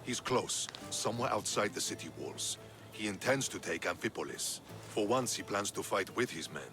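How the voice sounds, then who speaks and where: A man playing a battle-worn, loyal Spartan soldier in dramatic game dialogue, measured and steadfast. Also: fairly low pitch, lively intonation, music in the background. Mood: grim.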